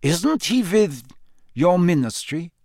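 A man voicing a puzzled, blunt young man with slow, deliberate speech in a storybook reading. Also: high voice, big pitch swings, gravelly, a little mellow, no echo, clean studio sound, voice pushed up, exaggerated voice.

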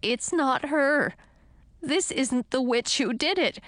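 A woman's voice: mid-range voice, sing-song, moderate pace, no room sound, lightly acted. A woman voicing a cautious young male frog, an enchanted prince in a storybook, earnest and careful. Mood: horrified.

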